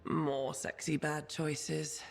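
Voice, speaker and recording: A woman's voice: deep voice, level tone, very slow, gravelly, heavily acted. A woman playing a cocky, reckless troublemaker in a video game, talking casually and cheekily. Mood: sheepish, playful. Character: reckless cocky brawler freelancer, easygoing troublemaker. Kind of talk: video game cutscene acting.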